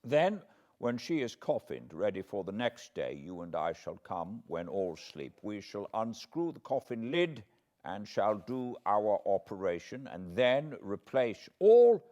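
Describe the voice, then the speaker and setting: A man voicing an elderly foreign professor from a classic novel, solemn and fatherly, with quaint, deliberate phrasing. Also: fairly low pitch, big pitch swings, dark tone. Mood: intense, hushed. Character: elderly foreign male professor, grave, tender, paternal, eccentric.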